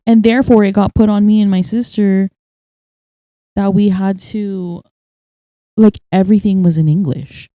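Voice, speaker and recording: A young woman co-hosting a casual chat podcast, telling stories in an animated way and acting out what people said. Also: medium pitch, moderate pace, long pauses, no room sound. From United States. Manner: storytelling, animated, acts out quotes. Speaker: podcast co-host.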